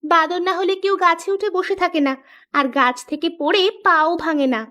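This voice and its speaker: A woman plays a teasing little village girl from a kids' cartoon. The voice is very high, with a mocking, quick-tempered way of bantering. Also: fairly even intonation, sharp tone. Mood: teasing, scornful. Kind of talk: children's cartoon dialogue.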